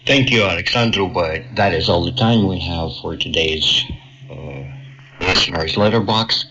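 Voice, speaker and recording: A man's voice: low voice, moderate pace, sharp tone. A man hosting a listener mailbag on an international radio broadcast, heard over shortwave. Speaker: radio host reading listener letters. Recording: noisy, muffled shortwave radio capture. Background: radio noise.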